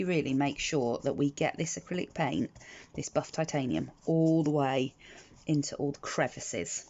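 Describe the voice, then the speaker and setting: Croaky British woman, craft tutorial. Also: low-pitched, a little mellow. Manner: chatty, frequent ums and restarts. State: croaky from the morning. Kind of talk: casual step-by-step craft tutorial. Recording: slightly dull lo-fi audio with faint noise.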